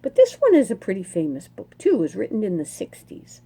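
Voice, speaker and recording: A middle-aged woman, a children's librarian, reading a picture book aloud in an expressive storytelling manner. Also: mid-range voice, brisk, slightly rough.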